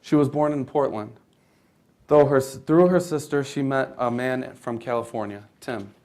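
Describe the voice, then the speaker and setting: A man's voice: mid-range voice, moderate pace, darkish tone. A young American man reading scripted narration for a readers theater performance, formal, with occasional stumbles over the text. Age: young adult.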